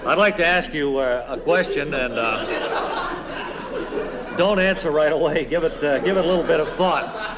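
An earnest radio interviewer with a slightly raised voice. He questions politely and with a straight face in a comedy parody. The old recording is noisy, with long reverb. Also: on the high side. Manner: measured, deadpan, polite, hesitant questioning. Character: earnest, respectful male radio news interviewer.

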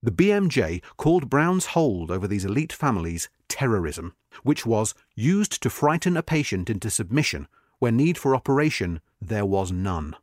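A man narrates a history article in a measured, expressive read. His intonation swings widely from phrase to phrase, stressing key words. The recording is dry and close, with no room sound. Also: lowish voice, no room sound. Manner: measured, expressive reading with marked emphasis. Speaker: narrator reading a magazine article. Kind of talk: scripted narration.

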